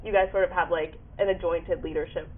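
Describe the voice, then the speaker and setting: A young woman hosts a casual interview podcast with effusive, peppy delivery. Her voice is a little low and husky. It comes through thin and band-limited, like a phone line. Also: steady tone, brisk. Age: young adult. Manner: effusive, peppy, frequent backchannels. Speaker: podcast host. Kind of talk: upbeat scripted introduction and casual interview. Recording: muffled phone-like, radio-like sound.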